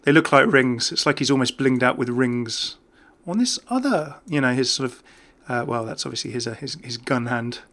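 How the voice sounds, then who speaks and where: A man with a bright, forceful voice at a middle pitch gives casual video game commentary. He is chatty and jokey, rambling along in an unscripted way. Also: animated, moderate pace, few pauses. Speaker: gaming YouTuber.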